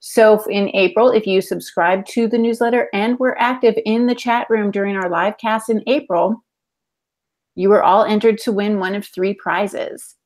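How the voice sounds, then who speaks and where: A woman's voice: mid-range voice, steady tone, normal speed, a little mellow. A middle-aged woman co-hosting a casual live podcast over a video call. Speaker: podcast co-host. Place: indoor room at home, on a video call. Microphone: earphone headset on a video call.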